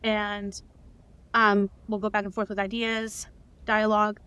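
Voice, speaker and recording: A woman's voice: mid-range voice, little pitch movement, a little slow, fairly bright tone, dull audio. A woman answering as an interview guest in a casual, explanatory way, with ambient noise behind her. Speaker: interview guest, audio drama creator. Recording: clear background ambient noise.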